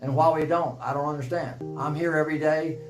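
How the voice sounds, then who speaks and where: A man's voice: fairly high pitch, fairly even intonation, a little fast. A man making an impassioned, insistent appeal for people to come and show support. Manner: pleading, insistent. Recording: echoey clip with music behind.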